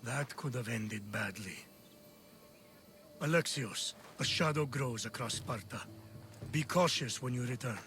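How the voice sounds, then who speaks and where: An actor playing a stern, honorable older Spartan general and father in a video game, measured and authoritative. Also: medium pitch, over music, lightly acted. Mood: cautioning. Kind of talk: dramatic video game acting.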